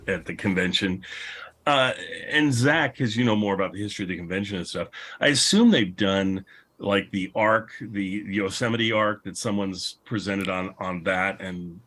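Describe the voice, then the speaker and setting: A man's voice: deep voice, fairly bright tone. A man hosting a casual online panel, talking in a chatty, informal, rambling way. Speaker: podcast host and panel moderator. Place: online video call panel.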